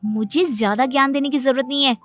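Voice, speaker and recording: A woman voicing a bitter, sharp-tongued young stepmother in a cartoon story, scolding and scornful. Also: sits high, fast, soft background music, pitched above the performer's own voice. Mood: angry scolding.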